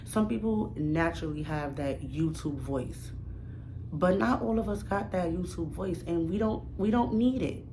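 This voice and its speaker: Low-voiced New York woman vlogging. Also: unhurried. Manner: emphatic, repetitive, slangy and direct. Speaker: new YouTuber. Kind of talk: casual to-camera vlog advice. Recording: audible background noise.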